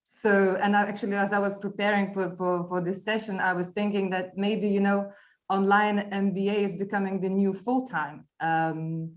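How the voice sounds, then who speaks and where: A woman's voice: mid-range voice, flat delivery, moderate pace. A woman talking informally about herself as a guest on an online panel.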